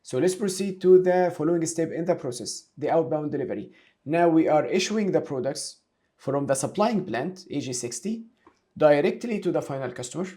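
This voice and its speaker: A man giving a step-by-step software tutorial, explaining each step methodically in a didactic way. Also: on the high side, animated, normal speed. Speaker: software instructor. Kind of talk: step-by-step tutorial narration of a screen demonstration.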